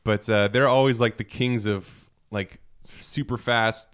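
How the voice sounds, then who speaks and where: A man with a fairly low, smooth voice talks casually as a podcast co-host. His delivery is clean and resonant, with a wry, joking edge. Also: steady tone, measured pace, fairly bright tone. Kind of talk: casual chat.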